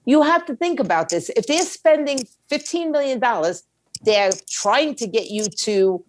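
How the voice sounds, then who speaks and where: A woman's voice: fairly high pitch, animated, normal speed, slight background noise. Blunt, emphatic woman giving her opinion. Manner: blunt, emphatic, uses slang and rhetorical questions. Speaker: music industry attorney and indie label owner, interview guest. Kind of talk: opinionated interview talk.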